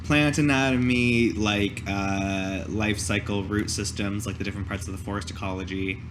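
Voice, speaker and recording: A game master running a tabletop roleplay in a casual, halting way. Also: low-pitched, fairly even intonation, noisy background. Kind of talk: improvised tabletop roleplay narration and chat.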